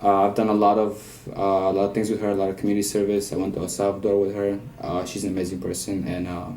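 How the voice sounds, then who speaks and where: Hesitant young man, low mellow voice. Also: completely flat delivery, quick pace, roomy sound, slight background noise. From Bulgaria. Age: young adult. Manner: hesitant, filler-heavy. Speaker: graduating senior. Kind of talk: casual video introduction.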